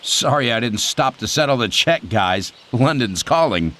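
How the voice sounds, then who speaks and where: An actor playing a cocky American pilot captain in a video game, with an American accent and a breezy, sardonic way of talking. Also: male voice, mid-range voice, expressive, unhurried, pushed and bright, a little hiss or hum. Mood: wisecracking. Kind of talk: video game cutscene acting.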